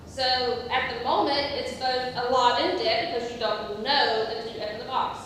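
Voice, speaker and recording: Stage comedy: actress playing a giggly, rambling woman, high bright voice, halting delivery, distant theatre-hall sound. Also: close to an everyday voice. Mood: earnest, halting. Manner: halting, slow, rambling explanations. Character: giggly woman slowly telling a rambling joke. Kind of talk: amateur stage comedy acting. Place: theatre stage, picked up from afar. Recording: distant, echoey theatre hall, faint noise.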